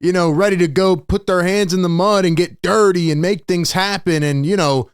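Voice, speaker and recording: An excitable man hosting a live sports reaction, his voice slightly hoarse from yelling yet still smooth and resonant. He talks in bursts of exclamation, recorded close and dry. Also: high-pitched, moderate pace, no echo. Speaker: sports podcast host.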